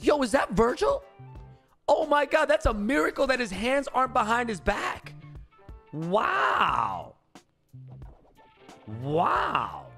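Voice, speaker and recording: A young man reacting casually on a live stream, excitable and slangy, swearing freely, close to the mic. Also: extremely high pitch, takes it slowly, gravelly, pauses often, soft background music. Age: young adult. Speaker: streamer reacting to football clips. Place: small indoor streaming room. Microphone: close microphone on boom arm, with headset.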